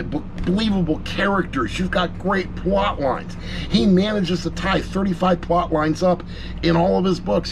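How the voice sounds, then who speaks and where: Casual male reviewer over clear background noise. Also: sits high. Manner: informal and emphatic, with mild swearing and repetition for emphasis. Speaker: audiobook reviewer. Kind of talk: casual opinionated video review.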